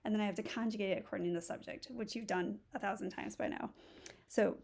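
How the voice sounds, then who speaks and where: Gravelly-voiced woman teacher thinking aloud. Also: medium pitch, steady tone, fast talker, darkish tone. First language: English. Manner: thinks aloud, walks through steps. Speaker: Spanish instructor. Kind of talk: recorded tutorial lesson.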